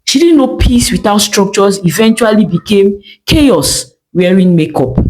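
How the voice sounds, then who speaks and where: Casting: female storyteller, highly expressive pitch, dramatic and emphatic delivery of scripted folktales. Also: unhurried, poor audio.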